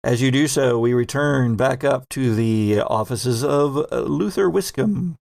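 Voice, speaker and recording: A man's voice: medium pitch, a little slow, creaky, a little mellow, no echo. A man narrating a tabletop game as game master and host.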